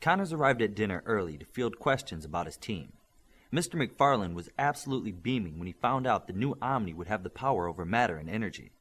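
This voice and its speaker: A man narrating an audiobook in an expressive, steady storytelling manner. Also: lowish voice, fast talker, breathy edge. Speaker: audiobook reader. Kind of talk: scripted narration.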